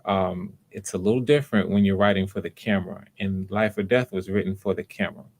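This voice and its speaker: A man's voice: low voice, steady tone, fairly quick, darkish tone. A man hosting voice auditions on a livestream, talking casually in an informal, encouraging way and often repeating phrases. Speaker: host running voice auditions.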